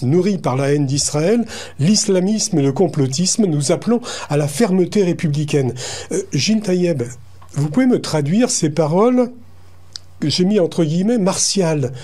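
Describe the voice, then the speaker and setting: A man hosting a radio interview, putting measured, partly read questions to his guest. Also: a little high, mellow. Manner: measured, reading prepared lines. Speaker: radio host.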